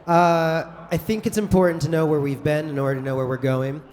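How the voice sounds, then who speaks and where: Guy moderating a panel, kinda high voice, jokey and quick, long hall echo. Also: steady tone, a little mellow, slight background noise. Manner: brisk, joking, informal. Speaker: debate moderator. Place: large conference hall. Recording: echoey conference hall.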